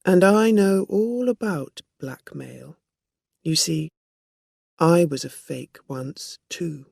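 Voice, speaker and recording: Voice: mid-range voice, slow, creaky, a little mellow, husky, no room sound, studio quality, full character voice. A narrator playing a shrewd, assertive woman making accusations in a storybook reading. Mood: shrewd, confident.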